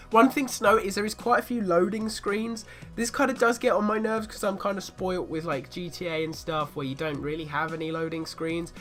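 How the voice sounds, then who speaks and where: A man's voice: high voice, bright, forceful tone. A man with a bad cold giving a casual spoken review of a video game, chatty and fond of repeating "really, really".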